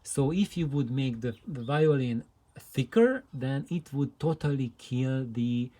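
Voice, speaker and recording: Slow, mellow-voiced man explaining. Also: medium pitch, animated. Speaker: presenter of hobbyist audio videos. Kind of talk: unscripted explanatory demonstration.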